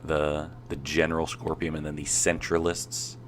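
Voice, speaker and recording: A congested man with a deep voice, co-hosting a podcast in casual chat and recapping a game's story in a laid-back way. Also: little pitch movement, normal speed, slight background noise. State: congested, coming down with a cold. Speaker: podcast co-host.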